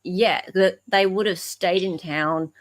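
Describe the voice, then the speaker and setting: An Australian woman with a slightly low voice talks as a podcast co-host. She explains casually, stopping and correcting herself as she works through her point. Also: fairly even intonation. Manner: halting, self-correcting, explanatory. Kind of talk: casual discussion of legal case emails.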